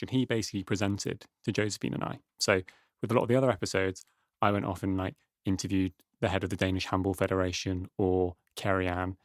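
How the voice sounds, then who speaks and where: Male podcast host, low gravelly voice, chatty and quick, dry studio sound. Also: dry recording. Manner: chatty, quick, frequent agreeing murmurs. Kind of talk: casual studio chat.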